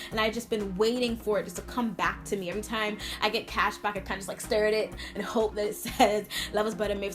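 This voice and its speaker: Expressive New woman chatting over music. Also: mid-range voice. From Brooklyn, New York, United States. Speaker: video blogger answering viewer questions. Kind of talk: casual chatty Q&A to camera.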